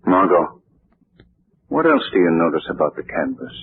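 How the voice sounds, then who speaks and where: An actor playing a shrewd, composed gentleman sleuth in a 1940s radio drama, with measured, probing delivery. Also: deep voice, very animated, no echo, full character voice. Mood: grave, intrigued. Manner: measured, probing, quietly deliberate questioning. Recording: muffled, husky-sounding old 1940s radio recording.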